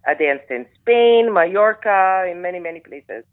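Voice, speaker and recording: A middle-aged woman from Belgium who speaks with a Flemish accent. She chats as a radio guest and often pauses on "uh" and "um". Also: lowish voice, expressive. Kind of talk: casual interview chat. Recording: tinny phone line.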